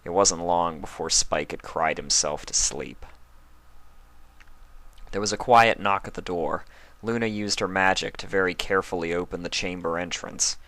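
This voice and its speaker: Deep-voiced man reading a story aloud. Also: dry recording. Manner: even, measured narration. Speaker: fanfic reader.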